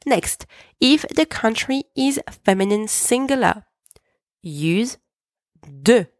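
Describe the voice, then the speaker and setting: A woman hosting a podcast lesson, patiently explaining and repeating French examples slowly. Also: on the high side, animated, dry recording, studio quality. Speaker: French teacher, podcast host.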